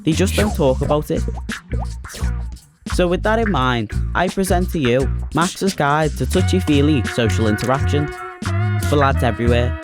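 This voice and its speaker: Wry young Liverpool lad narrating. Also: male voice, on the high side, lively intonation, normal speed, music in the background. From Liverpool, England. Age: 20s. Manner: chatty, self-mocking, wry. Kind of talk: scripted narration for an animated short.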